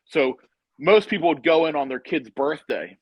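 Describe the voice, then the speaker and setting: Man in his fifties, fairly high voice, dry close sound, joking rambling storyteller. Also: normal speed, breathy edge, dry recording, muffled recording. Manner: joking, rambling storyteller, speeds up when excited. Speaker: guest. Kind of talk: casual chat, storytelling.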